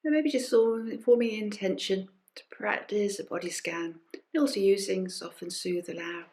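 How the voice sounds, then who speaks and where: A woman guides a body scan meditation at an unhurried, gentle pace. Her medium-pitched voice comes in short phrases with restful pauses, as a teacher softly inviting listeners to follow along. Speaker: meditation teacher leading a group session. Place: recorded during an online session.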